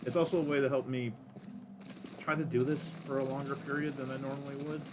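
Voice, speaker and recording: A man with a deep voice casually rambling to himself while streaming a game. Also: level tone, fairly quick, noisy background. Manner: rambling, self-talking, counts under breath. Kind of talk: casual gameplay commentary.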